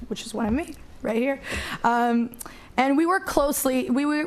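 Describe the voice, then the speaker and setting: A woman in her twenties from Canada, speaking earnestly as a seated panelist and pausing often with "um". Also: fairly high pitch. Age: 20s. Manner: earnest, many "um" fillers.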